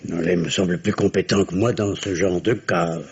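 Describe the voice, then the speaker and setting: An actor playing a weak, henpecked middle-aged country doctor in a French radio drama, hesitant and apologetic, his voice low and husky. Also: steady tone, moderate pace, a little hiss or hum. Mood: sheepish. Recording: muffled old radio recording.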